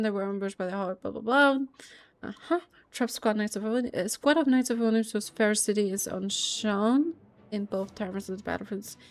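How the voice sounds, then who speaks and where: A woman streaming a game, reading text aloud and reacting casually with a giggly, expressive manner. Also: fairly high pitch.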